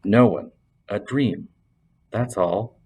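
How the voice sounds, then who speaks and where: A man's voice: on the low side, takes it slowly, warm tone, slightly rough. A man voicing an evil old magician who speaks in suspicious whispers and mutters, in a storybook reading. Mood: muttered dismissal.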